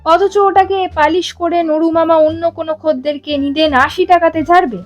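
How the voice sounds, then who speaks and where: A woman reading a scripted story as narrator, with dramatic, expressive delivery. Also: extremely high pitch, normal speed, highs cut off, faint music behind. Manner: expressive, acts out characters' dialogue.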